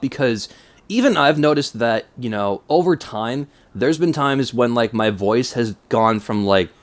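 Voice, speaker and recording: A man of twenty-two with a mild cold and stuffed-up nose, talking casually and self-consciously in a hesitant, rambling vlog. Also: mid-range voice. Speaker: YouTuber.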